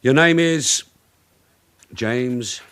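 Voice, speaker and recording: An actor playing a brisk, authoritative police detective sergeant in a radio drama, with a clipped, probing, commanding manner. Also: male voice, mid-range voice, a bit bright, clean tone. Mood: probing, sardonic.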